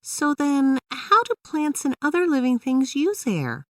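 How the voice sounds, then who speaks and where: A woman's voice: high voice, big pitch swings, unhurried, dry recording, studio quality, recorded from a distance, pitched above the performer's own voice. A woman voicing a curious, eager little girl in a children's storybook, with a wide-eyed, inquisitive manner. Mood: puzzled.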